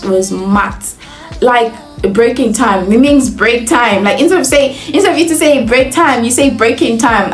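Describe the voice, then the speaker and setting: Young nigerian girl vlogging, chatty and giggly, highish voice, soft music under. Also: roomy sound. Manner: chatty, animated, laughs often, heavy use of "like". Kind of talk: casual storytelling.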